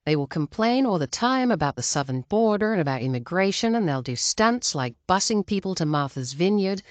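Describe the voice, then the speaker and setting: A woman delivers earnest political commentary in a fairly low voice. The sound is dry and close, with little room around it. She argues her side with emphasis, speaking straight to her audience as host. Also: sing-song, fairly quick, dry recording. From outside the United States. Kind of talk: opinionated political commentary to viewers.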